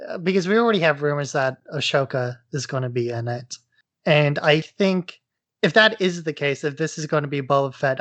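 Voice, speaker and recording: A man's voice: on the high side, fairly bright tone, dry recording. A man co-hosting a casual podcast, speculating in a tentative way as he pieces his ideas together. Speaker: podcast co-host.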